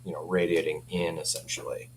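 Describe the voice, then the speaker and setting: A man speaking as a company representative in a casual product chat, informal and hedging, quick to chuckle. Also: low voice, level tone, a little hiss or hum.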